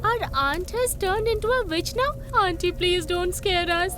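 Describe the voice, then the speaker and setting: A woman voicing an excitable, frightened young child in a cartoon story. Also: very high-pitched, a little slow. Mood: pleading.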